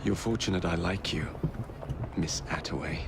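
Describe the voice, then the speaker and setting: A cocky gravelly Victorian gang leader. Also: male voice, low-pitched, normal speed, noisy background. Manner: wry, confident and cheeky.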